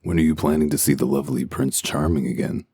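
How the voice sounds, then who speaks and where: Male actor for hard-boiled wolf detective in comic dub. Extremely low, husky, dry wit, terse delivery. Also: fairly even intonation, quick pace, warm tone, no echo, full character voice. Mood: sardonic. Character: gruff, cynical wolf detective in human guise.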